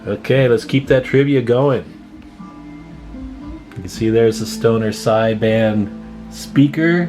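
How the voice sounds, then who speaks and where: A man's voice: mid-range voice, slow. A man giving a casual, hands-on demonstration as a hobbyist video host, speaking informally and folksily to his viewers. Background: plucked guitar music.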